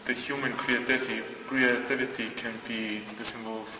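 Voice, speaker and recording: A man interpreting an interview into English, phrasing things haltingly and imperfectly. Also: mid-range voice, level tone. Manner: halting, non-native phrasing. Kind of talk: consecutive interpreting. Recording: distant echoey hall with clear noise.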